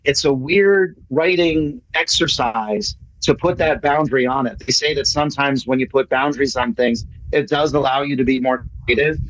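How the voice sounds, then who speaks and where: A man's voice: mid-range voice, a bit bright. A man speaking casually as a guest playwright and actor in an online meeting. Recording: dull lo-fi video call audio.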